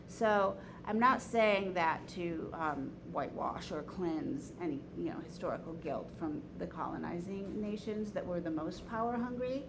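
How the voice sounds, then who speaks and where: Woman leading a discussion over clear noise. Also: fairly low pitch. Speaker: discussion leader, teacher. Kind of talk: informal lecture and group discussion. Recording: noisy room recording.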